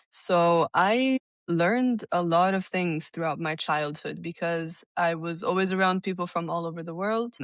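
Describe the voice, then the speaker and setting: A woman in her twenties, from Italy and the United States, recounts her life story as a podcast guest. Her voice sits fairly low for a woman, and she sounds open and reflective, recorded dry and close. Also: no room sound. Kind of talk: conversational interview.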